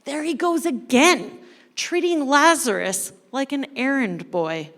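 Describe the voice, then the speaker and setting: A woman reading a story dramatically to a congregation as a church storyteller, expressive and deliberate. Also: high voice. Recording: echoey hall with long reverb.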